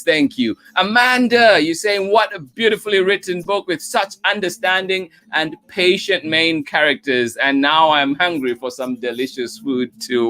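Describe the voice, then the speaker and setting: A man's voice: fairly high pitch, pushed and bright. A man hosting a children's story time stream, cheerfully greeting viewers by name and thanking them again and again. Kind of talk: live-stream chat with viewers after a read-aloud. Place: Oakland.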